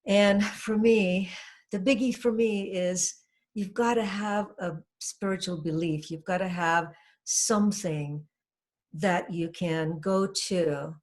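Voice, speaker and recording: A woman's voice: mid-range voice, slow, a little mellow. An older woman from Trinidad, a hypnotherapist guest, speaking earnestly and reflectively in a casual interview, with frequent fillers.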